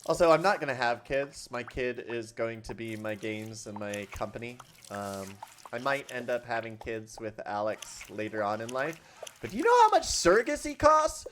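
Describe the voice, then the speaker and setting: A man's voice: medium pitch, sing-song, normal speed, a bit bright, a little hiss or hum. A man streaming a game in casual chat, rambling and self-deprecating and often laughing, talking from a room at home. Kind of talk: casual livestream chat while playing a game. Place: home room with a collection of objects.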